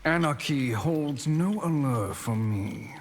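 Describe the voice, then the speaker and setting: A man voicing a haughty scheming sorcerer in a fighting game, with a measured, condescending and menacing manner. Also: medium pitch, expressive. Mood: smug, menacing. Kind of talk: fighting game character acting. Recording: reverberant hall.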